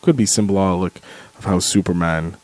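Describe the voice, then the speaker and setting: A man with a very low voice comments on a film as it plays. He talks off the cuff and somewhat haltingly, working through the action step by step. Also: darkish tone, no room sound, soft background music. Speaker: commentary contributor.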